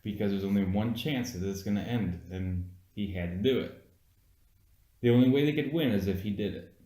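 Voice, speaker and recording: Lower-voiced man explaining insistently, roomy echo. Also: fairly quick, warm tone. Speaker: co-host of a movie review podcast. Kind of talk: casual, unscripted movie review chat.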